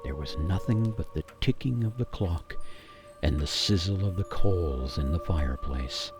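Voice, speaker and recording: An actor playing a haunted gingerbread cookie who tells a grim cautionary tale in a suspenseful, theatrical radio monologue with deliberate pauses. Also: male voice, low voice, lively intonation, music in the background. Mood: hushed suspense. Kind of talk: dramatic monologue for radio storytelling.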